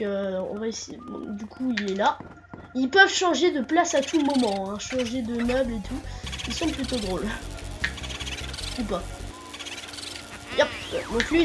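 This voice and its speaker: A woman's voice: fairly high pitch, noisy background. A woman with a stuffy, nasal voice from a cold, commenting a game walkthrough hesitantly, with many fillers and restarts. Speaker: gameplay commentator.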